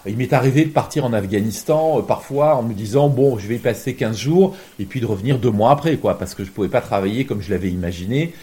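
A man with a mellow, soft-edged voice at a middling pitch. He answers as an interviewed reporter, deliberate and reflective, circling back to rephrase his points. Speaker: journalist, war reporter being interviewed.

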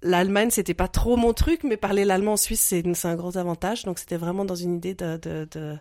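Invitée suisse romande, la trentaine, débit animé et rieur, voix grave au son très sec. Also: female voice, on the low side, fairly quick, no echo, studio quality. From French-speaking Switzerland. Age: 30s. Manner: lively, laughs often, restarts. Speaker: interview guest. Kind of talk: casual chat.

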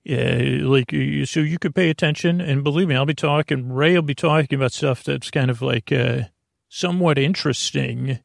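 Male sleep-podcast host: fry-heavy voice, halting, wandering casual chat, very dry close recording. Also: mid-range voice, no room sound. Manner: meandering, halting, trails off and goes off topic. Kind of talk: rambling casual monologue to help listeners fall asleep.

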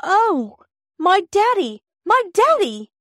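A woman's voice: very high voice, big pitch swings, slow, fairly bright tone, dry recording, clean studio sound, voice pushed up, full character voice. A woman voicing an earnest, affectionate Edwardian girl in a storybook reading, with an emotional, emphatic way of exclaiming. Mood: overjoyed, crying out. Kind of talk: storybook character voice in audiobook reading.

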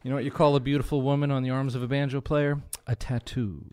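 A man's voice: medium pitch, flat delivery, quick pace, warm tone, smooth. A man, a folk musician, performing and bantering casually at a live show in a coffee parlor. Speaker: folk singer and guest musician. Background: acoustic string instruments.

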